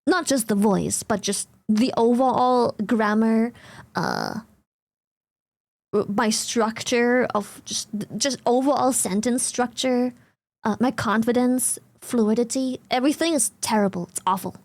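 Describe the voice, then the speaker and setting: High-voiced German woman streamer. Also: a little slow, no echo. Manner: laughs often, swears casually, blunt. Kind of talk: casual live-stream chat.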